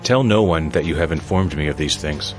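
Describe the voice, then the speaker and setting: A man's voice: very deep voice, fairly quick, faint music behind. A man playing a stern Roman military tribune in a dramatized Bible reading, authoritative and formal. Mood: guarded.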